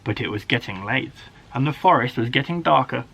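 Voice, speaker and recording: A man, a primary school teacher, reading a children's story aloud in an expressive, dramatic way. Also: medium pitch, fairly quick, vocal fry, husky, poor audio. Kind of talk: animated children's story narration.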